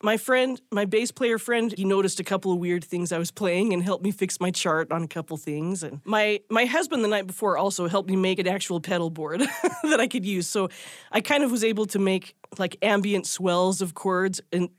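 A woman's voice: medium pitch, moderate pace, no echo, clean studio sound. A woman recounting her own experience in a candid, self-deprecating way, with plenty of likes and you-knows. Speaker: guitarist interviewee. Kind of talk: casual recounting.

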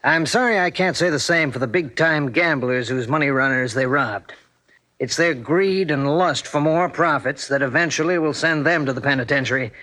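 A man's voice: fairly high pitch, moderate pace, pushed and bright, poor audio. A man playing an earnest, moralizing police commissioner, speaking formally in a 1940s radio crime drama. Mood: grave, moralizing.

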